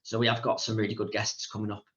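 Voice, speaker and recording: A man hosting a podcast and interviewing a guest, brisk and often repeating words. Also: fairly low pitch, flat delivery, a bit bright, highs cut off. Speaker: podcast host. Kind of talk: casual interview chat.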